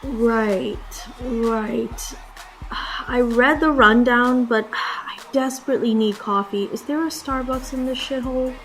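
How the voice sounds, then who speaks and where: A woman's voice: a little high, steady tone, takes it slowly, faint music behind, rough recording. A woman playing a wry, reluctant reporter in a comedy sketch.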